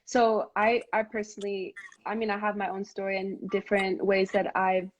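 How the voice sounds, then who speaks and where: A woman's voice: medium pitch, monotone, normal speed, a little hiss or hum. A woman from Montreal hosting a live conversation, talking earnestly with lots of fillers and restarts. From Montreal, Canada. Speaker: host of a live video chat. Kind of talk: casual heartfelt conversation. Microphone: live stream device mic.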